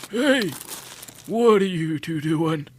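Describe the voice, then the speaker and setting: A stern elderly male security guard in an audio drama, played by a man, talking slowly in a fairly high voice. He sounds suspicious and folksy, with faint noise around the recording. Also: expressive. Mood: stern warning. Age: older.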